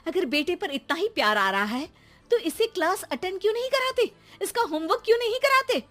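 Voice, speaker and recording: A woman playing an exasperated, sharp-tongued Indian mother who scolds and nags with theatrical sarcasm in a comic audio drama. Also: very high voice, very animated, brisk, faint music behind, pitched above the performer's own voice, exaggerated voice.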